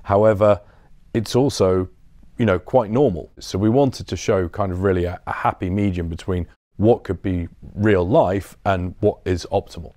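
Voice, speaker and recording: A man's voice: low voice, moderate pace, dark tone, dry recording. A man in his thirties informally explaining products as a company director showing visitors around a showroom. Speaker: business development director giving a showroom tour. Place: large acoustically treated showroom.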